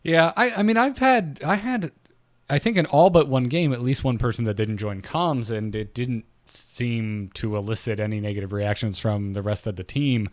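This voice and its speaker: A man's voice: mid-range voice, moderate pace. A man co-hosting a gaming podcast, telling stories in a chatty way with many restarts. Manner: chatty storyteller, stammers and restarts. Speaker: podcast co-host. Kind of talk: casual gaming podcast talk.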